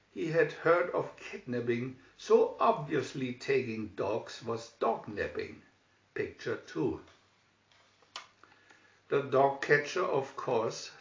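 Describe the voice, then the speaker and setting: A man reading a scripted children's story in a deliberate manner, announcing pictures as a narrator. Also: mid-range voice. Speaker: storybook reader. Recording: muffled, echoey room recording.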